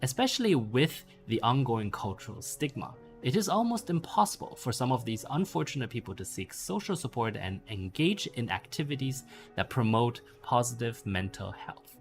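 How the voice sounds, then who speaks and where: Man reading an essay with lively intonation. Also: mid-range voice, normal speed, soft background music. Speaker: narrator reading a bedtime-story style essay. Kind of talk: scripted narration read aloud.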